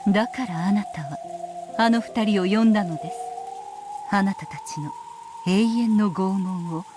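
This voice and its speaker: A woman playing a stern, motherly witch mentor in a Japanese visual novel, speaking in a measured, solemn, lecturing way. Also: mid-range voice, music in the background, lightly acted.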